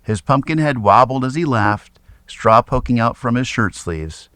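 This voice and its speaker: Animated male children's storyteller, dry sound. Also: medium pitch, a little slow, no echo. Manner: expressive, dramatic read-aloud delivery. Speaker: storybook narrator. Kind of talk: scripted children's story narration.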